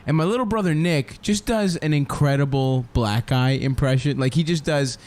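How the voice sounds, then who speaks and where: A man's voice: a little high, normal speed, darkish tone. A young man, a comedian, telling a long profane story in casual podcast chat, rambling and laughing as he goes.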